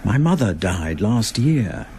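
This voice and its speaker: An actor playing a pragmatic, exasperated middle-aged husband in a radio drama, restrained and matter-of-fact. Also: male voice, fairly low pitch, sing-song, slow pace, a little mellow, a little hiss or hum, exaggerated voice.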